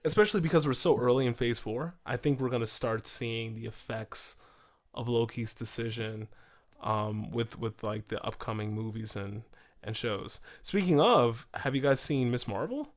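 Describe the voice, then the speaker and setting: Guy on a podcast, normal mid pitch, casual, sorta tentative, thinking out loud. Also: moderate pace. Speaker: podcast co-host.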